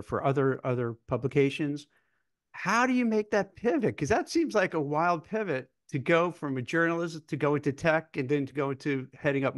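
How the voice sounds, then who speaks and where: Male co-host, casual, circling questions with restarts. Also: on the high side. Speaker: podcast host.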